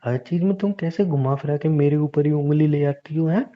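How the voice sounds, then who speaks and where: A man's voice: on the high side, brisk, highs cut off, close to an everyday voice. A man playing a teasing, exasperated husband in a casual, conversational domestic audio drama. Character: teasing, exasperated husband bickering with his injured wife. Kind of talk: improvised-sounding domestic audio drama, casual Hindi banter.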